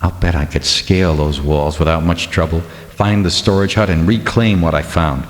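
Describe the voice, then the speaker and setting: Man voicing a weary, indignant low-voiced wanderer. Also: normal speed, in a large hall, close to an everyday voice. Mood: angry, resentful. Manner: indignant, impassioned. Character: Gordon, weary embittered male wanderer, stubborn idealist, thinking aloud.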